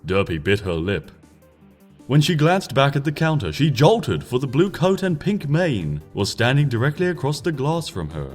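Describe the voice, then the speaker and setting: A man narrating a story from a script in an expressive, measured audiobook style, with music playing behind him. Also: on the low side. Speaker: fan fiction reader, narrator. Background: background music.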